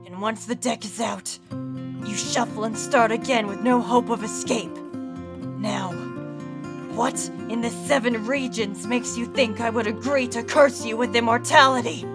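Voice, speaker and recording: A woman's voice: on the high side, measured pace, music in the background. A woman playing an anguished, bitter immortal male guardian spirit in a dramatic fan audio drama. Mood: bitter, scornful. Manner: emotional, dramatic, pleading speeches.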